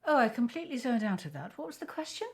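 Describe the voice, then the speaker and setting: A woman's voice: fairly high pitch, big pitch swings, fast, a little mellow, husky, full character voice. An actress playing a tipsy, acid-tongued woman at a family quiz, scornful and dismissive in a biting, sardonic way. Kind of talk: naturalistic screen drama dialogue.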